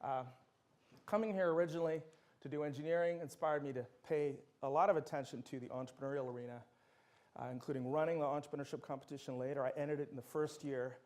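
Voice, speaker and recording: A man's voice: fairly high pitch, fairly even intonation, fast, a little mellow. A middle-aged man, a native Dutch speaker, giving an enthusiastic university lecture full of anecdotes. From Netherlands.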